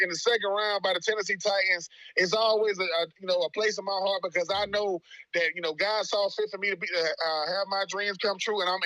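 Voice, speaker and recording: Fast, high, bright-voiced man. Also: no echo, low-quality recording. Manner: emphatic, repeats words, preacher-like rhythm. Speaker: guest, radio host and former pro football player. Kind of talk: heartfelt commentary.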